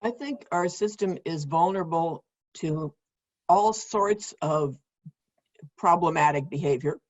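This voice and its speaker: A woman's voice: on the low side, measured pace. A woman panelist answering thoughtfully over a video call. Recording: muffled video call audio.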